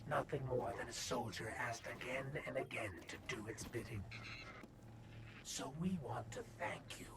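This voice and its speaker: An actor playing a dark otherworldly entity in a video game, whispery and slow, coaxing with cold temptation. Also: male voice, mid-range voice, lightly acted. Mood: tempting. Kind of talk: scripted video game dialogue. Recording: faint, distant voice in noisy game ambience.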